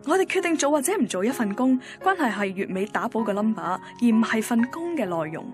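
Actress as wistful young woman, Hong Kong Cantonese accent, introspective radio drama monologue, higher voice, clear music behind. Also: big pitch swings, brisk. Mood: wistful, musing. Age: young adult. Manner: introspective, musing. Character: wistful young working woman recounting her romance.

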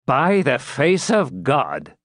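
A man's voice: medium pitch, big pitch swings, unhurried, no echo, clean studio sound. A man voicing a vain, imperious medieval prince with pompous archaic speech in an audiobook story. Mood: offended, haughty.

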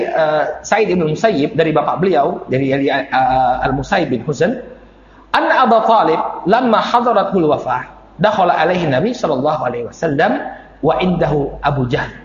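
A man giving an Islamic lecture as a preacher, conversational yet emphatic, telling stories and reciting quotations. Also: sits high. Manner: conversational, emphatic, rhetorical questions and check-back tags. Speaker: Islamic preacher (ustadz) teaching a class. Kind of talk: religious lecture, storytelling with recited Arabic quotations. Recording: echoey hall, muffled, noisy lecture recording.

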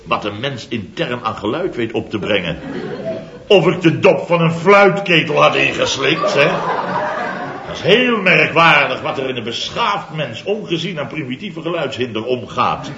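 A man's voice: mid-range voice, lively intonation, normal speed, crowd noise, lightly acted. An actor playing a pompous, self-important middle-aged construction company boss who chuckles through his own boastful stories, in 1960s radio comedy style. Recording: dull roomy old radio recording.

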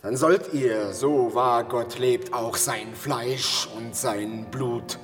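An actor playing a talkative, self-satisfied traveller in a German radio play, telling macabre stories in a halting, theatrical way. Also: male voice, medium pitch, lightly acted. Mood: thundering. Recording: echoey, distant, music behind.

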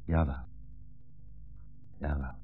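An actor playing a weary, resigned old blind rabbi in a 1960s radio drama, measured and formally polite, with a meek irony. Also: male voice, extremely low pitch, steady tone, breathy edge, heavily acted. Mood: meek, wry. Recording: dull 1960s radio recording, music behind.

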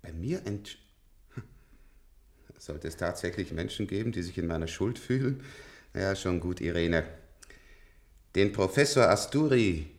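Very old professor, radio drama. Very low pitch, formal, resigned, world-weary and bitter. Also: male voice, expressive, measured pace, long pauses. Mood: bitter resignation. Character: weary, bitter, extremely old male professor longing to die. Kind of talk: German radio drama acting.

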